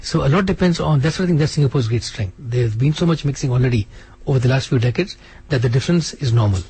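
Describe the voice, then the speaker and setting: Male radio guest, rapid delivery, husky low-lit timbre. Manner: fast, animated, restarts often. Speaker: interview guest, author. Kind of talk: casual radio interview. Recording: radio studio.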